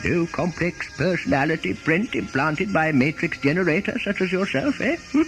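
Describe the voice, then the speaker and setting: An actor playing a sly, authoritative sci-fi interrogator in an audio drama, pointed and needling. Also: male voice, on the high side, expressive, normal speed, fairly bright tone, soft background music, poor audio.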